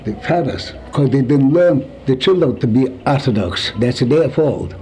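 A man in his seventies from Poland with a Yiddish accent, recalling his life in an interview, halting and searching for words. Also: medium pitch, sing-song, mellow, slight background noise. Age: 70s. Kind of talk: oral history recollection.

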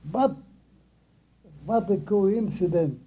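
An elderly man, slowly recalling his life in an oral history interview and often stopping to restart his words. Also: high voice, warm tone, husky, poor audio. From Russian Empire, near Kiev. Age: older. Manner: halting, with many restarts and repeated words.

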